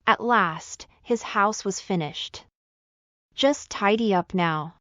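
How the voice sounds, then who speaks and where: A woman's voice: medium pitch, takes it slowly, fairly bright tone, dry recording, lo-fi sound. A woman reading a scripted children's fairy tale as the storyteller.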